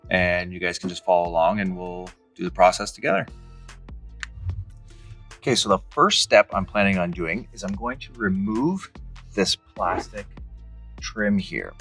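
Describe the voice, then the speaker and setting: A man in his thirties with a low-pitched voice walks through a DIY job step by step. His delivery is casual and plain-spoken, like a hobbyist's tutorial. He is recorded in a garage on a camera mic, with music in the background. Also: measured pace, pauses often, fairly bright tone, husky. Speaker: host of a DIY Jeep how-to channel. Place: indoor garage with high ceiling, in and around a car.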